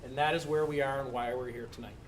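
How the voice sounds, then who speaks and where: A man's voice: mid-range voice, steady tone, fast talker, recorded from a distance, slight background noise. A middle-aged man presenting at a school committee public meeting, earnest and thankful, with some restarts. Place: school building meeting room.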